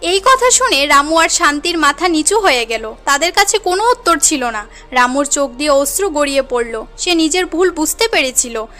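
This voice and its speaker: A woman's voice: high voice, animated, moderate pace, pushed and bright, faint music behind. A woman narrating a moral cartoon tale in an earnest, dramatic storytelling manner.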